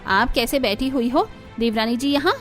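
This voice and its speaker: A woman's voice: fairly high pitch, moderate pace, faint music behind, lightly acted. A woman voicing a pampered, complaining young sister-in-law with a whiny, dramatic manner in a Hindi cartoon. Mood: dramatic complaint. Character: pampered, complaining young Indian elder sister-in-law who hates heat. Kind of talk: Hindi animated family story acting.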